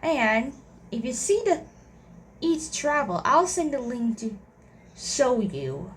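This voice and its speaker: A woman casually commenting on her game playthrough in a halting way, with frequent restarts and repeats. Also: sits high, big pitch swings, a little hiss or hum. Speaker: gameplay channel host. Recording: commentary over loud game music.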